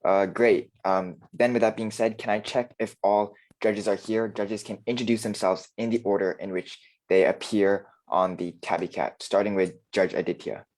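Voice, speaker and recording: A man's voice: fairly low pitch, steady tone, normal speed, few pauses, a little mellow, low-quality recording. A man acting as moderator of an online debate, giving orderly procedural announcements with frequent fillers, over a video call.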